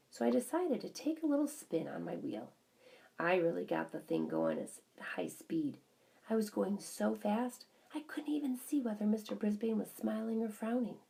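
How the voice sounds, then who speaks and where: A woman's voice: lowish voice, vocal fry, dark tone, exaggerated voice. A woman voicing a small, observant pet hamster who tells a children's story in chatty asides. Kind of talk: first-person children's storybook narration.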